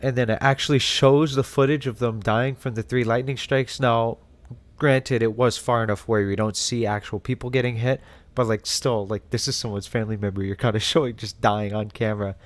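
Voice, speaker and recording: A man's voice: medium pitch, fairly even intonation, fairly quick. A man hosting a casual analysis video, talking conversationally with wry asides. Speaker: host.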